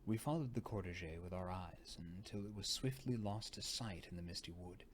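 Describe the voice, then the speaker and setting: A man reading a story aloud in a theatrical narration style, sometimes dropping to a hush. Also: deep voice, moderate pace, slight background noise. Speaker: audiobook reader.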